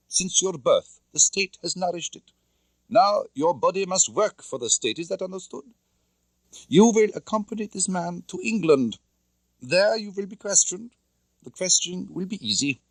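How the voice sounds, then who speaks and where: Man voicing a stern, high-pitched Soviet woman colonel. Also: a bit bright, no echo, exaggerated voice, poor audio. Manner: commanding, clipped, formal. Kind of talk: audiobook character acting.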